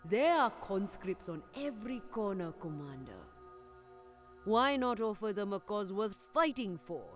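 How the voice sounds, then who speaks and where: Woman as slow, imperious cult apostle. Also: mid-range voice, lively intonation, faint music behind, close to an everyday voice. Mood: scornful, persuasive. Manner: measured, imperious, challenging. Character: zealous, scornful female cult apostle advising warlords. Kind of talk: scripted audio drama acting.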